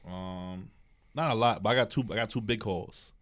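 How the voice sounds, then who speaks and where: NY man, podcast co-host, low and easygoing, dry close sound. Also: moderate pace, a bit bright, no room sound. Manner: laid-back, hesitant, repeats words while thinking. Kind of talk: casual chat.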